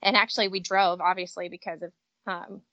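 A woman's voice: fairly low pitch, flat delivery, brisk, bright, forceful tone, dry recording, muffled recording. Upbeat woman chatting on a porch. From Connecticut. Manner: upbeat and talkative, lots of um and like. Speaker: interview guest. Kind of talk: casual interview chat. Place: outdoors on a front porch.

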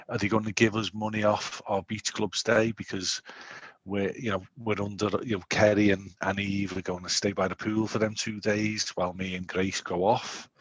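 A man in his forties, co-hosting a podcast in casual chat, rambling and animated with lots of restarts. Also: on the low side, slightly rough, no room sound. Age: 40s. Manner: rambling, animated, self-interrupting. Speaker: podcast co-host.

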